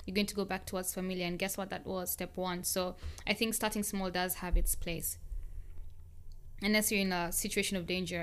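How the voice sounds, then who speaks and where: A young adult woman, hosting a reflective solo podcast in an earnest, conversational manner with short, considered phrases. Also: medium pitch. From born in the US, grew up in Kenya. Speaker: podcast host.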